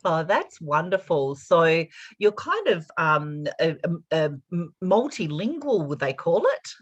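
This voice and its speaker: A woman's voice: on the low side, big pitch swings, measured pace, husky, muffled recording. A woman hosting a podcast interview, asking encouraging, curious questions. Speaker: podcast host.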